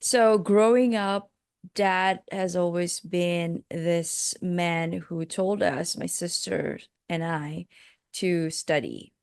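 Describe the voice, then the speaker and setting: A woman's voice: lowish voice, fairly even intonation, slow, gravelly, a little mellow, clear, resonant tone, dry recording, clean studio sound. A woman from Malaysia with a Malaysian accent, a life coach telling her life story as a podcast guest, reflective and hesitant with many fillers.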